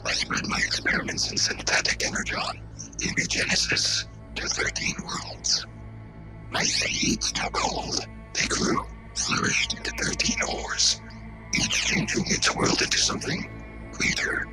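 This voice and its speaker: A man voicing a cold, grandiose robot scientist villain in a scripted audio drama, with heavily processed robotic delivery and measured monologues. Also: sharp tone, soft background music, poor audio. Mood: grandiose, menacing.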